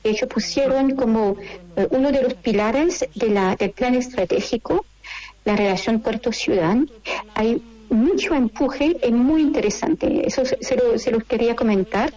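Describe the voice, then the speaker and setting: A woman with a French accent explaining things as an interview guest, in a halting manner as she searches for words. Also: fairly high pitch, dark tone, highs cut off. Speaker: interview guest, course director.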